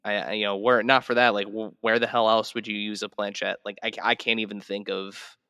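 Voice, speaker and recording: A man's voice: fairly low pitch, little pitch movement, fairly quick, pushed and bright, smooth, dry recording, studio quality. A man hosting a podcast in casual chat, talking in rambling, hesitant phrases with repeated words. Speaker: podcast host.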